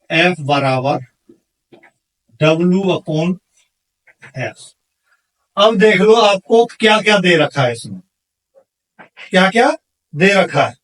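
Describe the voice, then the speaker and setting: A man's voice: sits high, fairly bright tone, recorded from a distance, low-quality recording. A man teaching exam problems step by step, asking questions and answering them himself in an instructional manner. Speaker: physics teacher for exam candidates.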